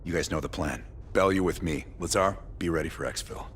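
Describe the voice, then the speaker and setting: An actor playing a gruff, calculating, cool-headed veteran spy team leader in a video game, speaking in terse, dry, measured lines. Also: male voice, slight background noise, natural voice. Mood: firm, commanding. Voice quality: low gravelly voice. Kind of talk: cinematic game dialogue acting.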